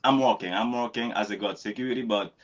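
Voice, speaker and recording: A man from Haiti, native in Creole and French, talking his way through English practice in a casual, halting way. He restarts often and laughs easily. His voice sits low, and the sound is a little muffled and lo-fi. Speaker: English learner.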